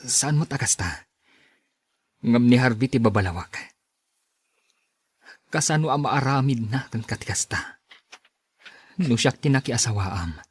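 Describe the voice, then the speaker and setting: A man's voice: medium pitch, expressive, measured pace, long pauses, husky. A man playing a concerned, disapproving friend in an Ilocano audio drama. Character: concerned male friend, disapproving of a cheating husband.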